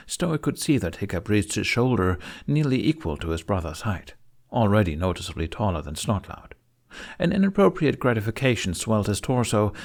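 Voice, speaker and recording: A man reading scripted fantasy fiction as a dramatic audiobook narrator. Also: on the low side.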